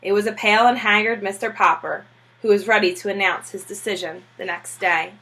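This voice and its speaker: A woman reading a children's novel aloud as a storybook narrator, with a husky voice. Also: mid-range voice, steady tone, fairly quick, pushed and bright, rough recording. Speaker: storybook reader.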